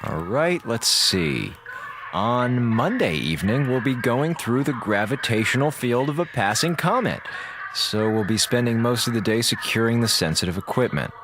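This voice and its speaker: An actor playing a wisecracking, sarcastic communications officer on a space station, talking casually in a radio drama. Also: male voice, medium pitch, lively intonation, moderate pace, background noise, lightly acted. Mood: wry. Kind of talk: radio drama audio log narration.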